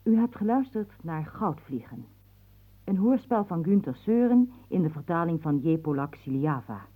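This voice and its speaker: A woman radio announcer reading credits formally, measured and carefully enunciated. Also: low voice, sing-song. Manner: measured, enunciated, pausing between names. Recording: muffled, narrow-band old radio recording.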